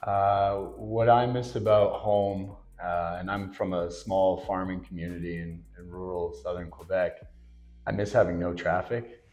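A Canadian-born rugby player chatting casually on a panel, with relaxed, rambling speech and many fillers. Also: male voice, sits low, unhurried, faint music behind. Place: indoor room with a branded backdrop.

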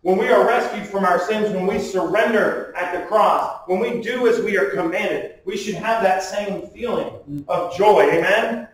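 High-pitched man preaching in an echoey church. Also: measured pace. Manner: emphatic, with rhetorical questions and calls for amen. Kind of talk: sermon. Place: small church sanctuary, at a wooden pulpit indoors. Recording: distant, very echoey room sound.

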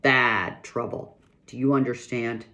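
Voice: mid-range voice, fairly even intonation, slow pace, pushed and bright. A reader voicing a stern pioneer father. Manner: firm, emphatic, scolding the girls. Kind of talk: reading a children's novel aloud.

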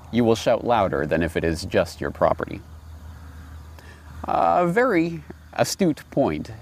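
A man in his forties talks reflectively in a fairly low voice with plenty of gravel. His intonation moves widely as he stresses his points deliberately. He is speaking outdoors into a lapel mic. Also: darkish tone. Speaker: host and commentator. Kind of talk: reflective commentary with read-aloud fables. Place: outdoors on grass by trees and water.

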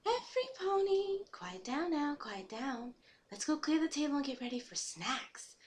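A woman's voice: high voice, very animated, moderate pace, voice pushed up. A woman voicing a bossy, cheery pony teacher instructing young ones. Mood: cheerful, commanding. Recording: noisy home recording.

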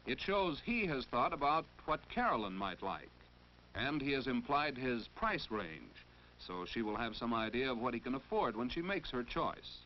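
A male narrator from a 1940s instructional film, didactic and full of instructive questions. His pitch swings expressively through a muffled soundtrack with faint noise. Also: very animated, moderate pace.

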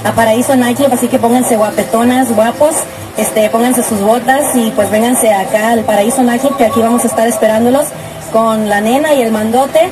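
A woman in her twenties, a singer, answering interview questions in a friendly, relaxed way. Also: on the high side, normal speed, over music, poor audio. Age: 20s. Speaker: singer guest. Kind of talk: casual radio interview.

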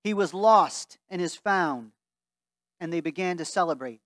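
A man's voice: high-pitched, animated, unhurried, a bit bright, no echo, clean studio sound. A man in his thirties, a pastor, preaching and reading scripture aloud earnestly in a church sanctuary. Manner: earnest, reads aloud from a Bible while standing. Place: church sanctuary before a stained-glass wall.

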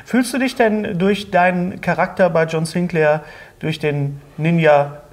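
A middle-aged man with a slightly high voice hosts a studio interview. He speaks casually and conversationally, with a joking manner. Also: fairly even intonation, measured pace. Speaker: interviewer, host. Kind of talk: casual talk-show interview. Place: indoor studio set, seated at a table.

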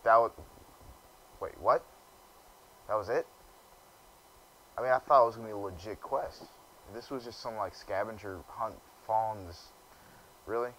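Gamer, young man, deep low voice, casual grumbling commentary. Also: normal speed, long pauses, a bit bright, slight background noise, rough recording. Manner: casual, grumbling, stumbles reading quest text aloud. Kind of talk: let's play commentary.

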